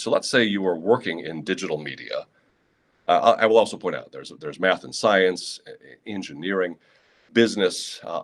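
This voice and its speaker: Low-voiced Midwestern man guiding teachers. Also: a bit bright. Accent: American Midwest. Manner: casual and practical, with frequent "uh" fillers and dry asides. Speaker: school librarian presenting to teachers. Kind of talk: live online teacher training walkthrough. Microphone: computer mic on a live video session.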